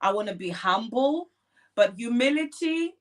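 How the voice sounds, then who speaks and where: A woman's voice: on the high side, lively intonation, a little slow. Reflective woman in faith talk. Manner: reflective, frequent "you know" fillers. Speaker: podcast co-host. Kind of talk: conversational faith-based advice talk.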